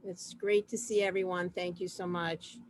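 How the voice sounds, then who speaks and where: A woman casually hosting an online community gathering over a video call, chatting informally in a halting way with trailing asides. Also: on the low side, flat delivery. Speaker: host of an online community program. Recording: noisy video call audio.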